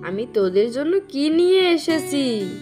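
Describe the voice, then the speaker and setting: High female voice, slow, affectionate cartoon pigeon aunt, played by a woman, music behind. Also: sing-song. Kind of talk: children's cartoon dialogue.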